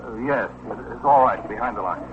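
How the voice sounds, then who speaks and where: A man's voice: mid-range voice, moderate pace, natural voice. Male gallery owner played by an actor, vintage radio drama: indignant, dismissive, fussily repetitive, dull sound with light noise. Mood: indignant, flustered. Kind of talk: 1950s radio drama acting. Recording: muffled vintage radio recording, faint hiss.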